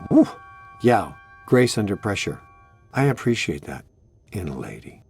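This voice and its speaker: An actor playing a sarcastic, flirty middle-aged ghost hunter in a video game, with a deadpan, offhand delivery. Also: male voice, lowish voice, unhurried, gravelly, breathy edge, soft background music, exaggerated voice. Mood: smooth, flirty. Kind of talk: video game character acting.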